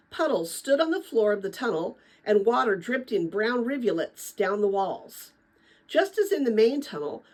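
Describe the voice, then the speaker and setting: A woman narrating a children's adventure novel as a dramatic read-aloud, with expressive, deliberate phrasing. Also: fairly high pitch, low-quality recording. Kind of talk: dramatic storybook read-aloud for middle-grade listeners.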